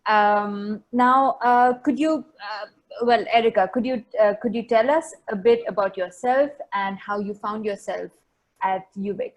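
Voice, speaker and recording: A woman's voice: medium pitch, a little slow. A woman moderating a webinar, politely passing questions to the guests with hesitant fillers.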